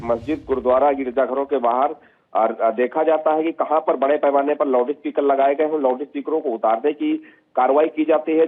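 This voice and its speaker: A man giving a live, unscripted news report as a field reporter, halting and repetitive with stock phrases. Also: on the high side. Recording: tinny phone-like live link.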